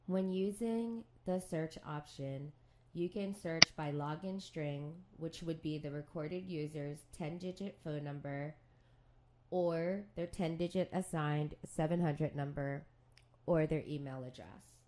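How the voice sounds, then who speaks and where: Low-voiced woman narrating a software tutorial. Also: slow pace. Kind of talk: scripted instructional narration.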